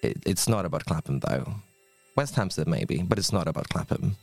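Man, podcast host, very low voice, wry, self-mocking, faint music behind. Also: lively intonation, moderate pace, creaky. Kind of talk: scripted podcast narration.

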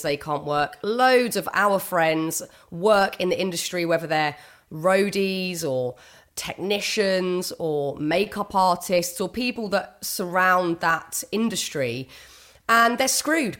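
A middle-aged woman talking candidly as an interview guest, earnest and a little husky, often restarting her sentences. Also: on the low side. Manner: candid, earnest, with frequent restarts and quoted inner thoughts. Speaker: guest author and broadcaster. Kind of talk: conversational interview.

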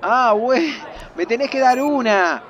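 An actor playing a reassuring, gently teasing best friend with a Buenos Aires accent, insistent and interjecting in audio drama dialogue. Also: male voice, very high voice, expressive, unhurried, heavily acted. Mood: surprised, teasing. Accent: Argentine, Buenos Aires.

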